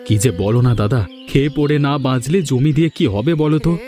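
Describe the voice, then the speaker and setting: Mid-pitched, emphatic village man voiced by an actor. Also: fast talker, over music, close to an everyday voice. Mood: lamenting. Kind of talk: Bengali cartoon folk-tale voice acting.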